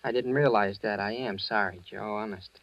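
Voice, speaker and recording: A man's voice: fairly low pitch, steady tone, normal speed, no room sound, lightly acted. An actor playing an awkward, eager teenage boy in an old-time radio drama, hesitant and stammering. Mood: nervous, apologetic. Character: awkward, eager teenage boy, nervously polite returned son. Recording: dull, lo-fi vintage radio recording.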